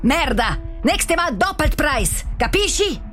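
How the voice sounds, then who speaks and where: A hot-headed, rude villain from a children's story, played by a woman. He shouts threateningly, with raised, swinging pitch. Music plays underneath. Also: sing-song, slow, exaggerated voice. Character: angry, rude Italian thug, adult man.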